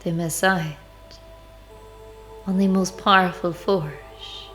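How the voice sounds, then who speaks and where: Woman, lowish pitch, very slow pace, devotional meditation guide, deliberate pauses. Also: steady tone, a bit bright. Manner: measured, deliberate phrasing with pauses. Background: background music.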